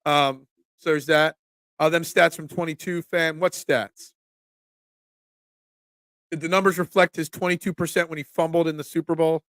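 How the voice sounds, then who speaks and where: A man, congested from a cold, hosting a casual sports talk stream, excitable and opinionated, often restarting his sentences. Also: a little high, normal speed, long pauses, dry recording. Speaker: sports talk show host. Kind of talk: casual live-stream sports talk, reading chat comments.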